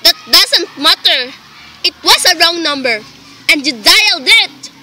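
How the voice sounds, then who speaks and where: Actress as nervous, imperious housewife in radio melodrama; very sharp high voice, slow delivery, faint noise. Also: female voice, lively intonation, full character voice. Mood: exasperated. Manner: overwrought, imperious. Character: nervous, demanding invalid wife, alone and frightened. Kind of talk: melodramatic radio play acting. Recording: faintly noisy amateur recording.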